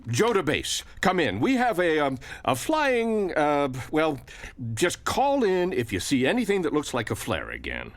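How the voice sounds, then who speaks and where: A man playing an excitable, jumpy forest worker in a family audio adventure, with an exaggerated, flustered manner. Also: on the high side, a little slow, vocal fry, heavily acted. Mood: flustered, practical. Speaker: voice actor.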